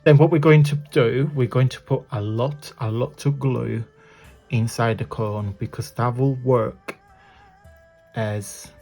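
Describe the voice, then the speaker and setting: Croaky man talking through a craft project. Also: mid-range voice, steady tone, slow pace, dark tone, faint music behind. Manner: chatty, apologetic, talks while working with his hands. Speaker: craft tutorial host. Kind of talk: casual hands-on craft tutorial. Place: indoors at a craft desk.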